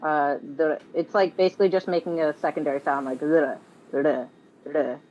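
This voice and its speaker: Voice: medium pitch, steady tone, normal speed, darkish tone, dull audio, a little hiss or hum. A tabletop game player rambling in casual chat, often repeating words.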